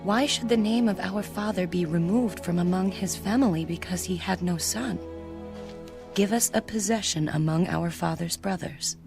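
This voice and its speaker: A woman's voice: lowish voice, normal speed, creaky. A woman playing an insistent daughter making a formal demand in a dramatized Bible reading. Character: insistent daughter demanding her father's inheritance. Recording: music bed under the voice.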